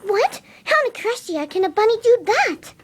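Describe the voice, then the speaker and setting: A woman voicing a timid, anxious, gentle female pegasus pony in an amateur story reading, meek and stammering. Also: very high-pitched, sing-song, normal speed. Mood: bewildered, distressed. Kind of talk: amateur dramatic reading of fan fiction.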